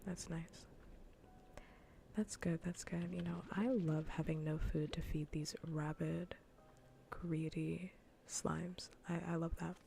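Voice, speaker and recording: A woman whispering softly and breathily in an ASMR gaming stream, playfully bossy and dryly sarcastic, close to the microphone. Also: deep voice, steady tone, takes it slowly, vocal fry. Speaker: livestreamer.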